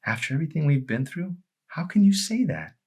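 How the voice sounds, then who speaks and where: A man voicing a desperate, anguished husband and fallen pastor, emphatic and often shouting, in an audiobook. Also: fairly high pitch, very animated, a little fast, pitched above the performer's own voice. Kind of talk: dramatic audiobook character acting.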